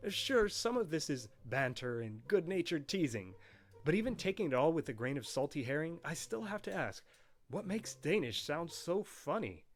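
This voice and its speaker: A man's voice: fairly high pitch, very animated, moderate pace. A man narrating a scripted history of a language in a playful, wry storytelling manner. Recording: narration with faint background music.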